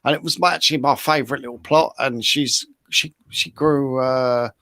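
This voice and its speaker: A man with an average-pitched voice, recorded dry. He co-hosts in a loose, casual, rambling way. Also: steady tone, normal speed, bright, forceful tone, no room sound.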